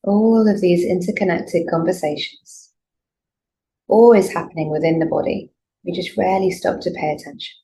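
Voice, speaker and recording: Low-pitched woman guiding a meditation. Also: steady tone, rough recording. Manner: gentle, soothing, unhurried instruction with pauses. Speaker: yoga nidra teacher. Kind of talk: guided meditation. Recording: clear background noise, room ambience.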